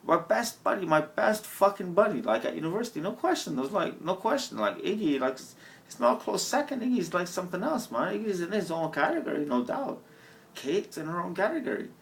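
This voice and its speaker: A man's voice: sits high, very animated, fairly quick, creaky. A young man with a slight Scottish-tinged accent, rambling profanely to his camera in a stream-of-consciousness monologue, often laughing at himself, at an outdoor bus stop. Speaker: vlogger. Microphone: camera mic.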